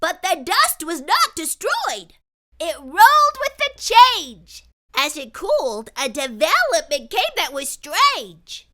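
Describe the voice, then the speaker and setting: A woman voicing an excitable young boy who spins a tall tale in dramatic, sing-song rhyme for a storybook read-aloud. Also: very high voice, slow, pitched above the performer's own voice, full character voice. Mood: excited, insistent.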